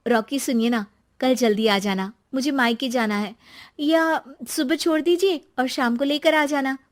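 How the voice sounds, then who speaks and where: महिला की निभाई प्यारी, ऊँची आवाज़ वाली जवान भाभी. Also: female voice, sits high, unhurried. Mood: sweet. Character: affectionate young sister-in-law, devoted wife.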